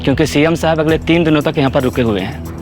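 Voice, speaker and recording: Man acting high-voiced officious hotel manager. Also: fast, over music, natural voice. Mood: apologetic, firm. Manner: polite, fawning, formal. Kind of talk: Hindi audio drama acting.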